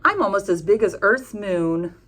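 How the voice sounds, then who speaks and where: A woman's voice: medium pitch, lively intonation, normal speed, fairly bright tone. A woman voicing a cheerful, chatty little planet in a children's book, eager and a bit boastful.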